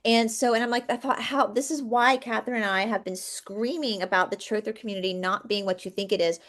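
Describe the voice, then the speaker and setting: A woman's voice: medium pitch, fairly even intonation, brisk, fairly bright tone. A woman co-hosting a casual chat, talking passionately and rambling on with restarts. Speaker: co-host.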